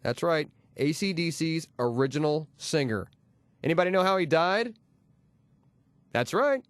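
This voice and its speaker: Male comedy radio host, notably high voice, punchy wisecracking patter, dry close-miked studio sound. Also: lively intonation, measured pace, no room sound. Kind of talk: comedy radio air-check patter with jokes. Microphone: close radio studio microphone.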